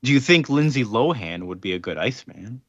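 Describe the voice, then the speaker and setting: A man's voice: mid-range voice, expressive, fairly quick, a bit bright, no room sound. A man co-hosting a podcast, tossing in playful, jokey remarks in easy conversation. He is recorded on a dull, muffled lo-fi line with no room sound at all. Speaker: podcast co-host. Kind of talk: casual chat.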